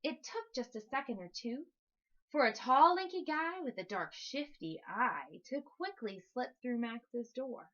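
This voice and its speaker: A woman reading a rhyming children's story aloud in an expressive narrating style. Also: on the high side, measured pace, lo-fi sound. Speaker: storybook reader.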